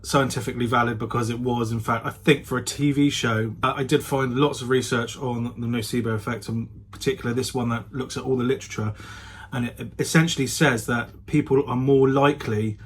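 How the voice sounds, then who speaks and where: A man's voice: mid-range voice, steady tone, a little fast, husky. A man vlogging in a casual chatty style, often hedging and correcting himself as he goes. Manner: self-correcting, hedging his claims. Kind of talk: casual talk to camera.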